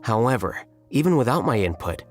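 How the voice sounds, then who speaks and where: A man voicing an aloof, logical young scholar in a video game, speaking in a measured, matter-of-fact way. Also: medium pitch, big pitch swings, faint music behind, natural voice. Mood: detached, matter-of-fact.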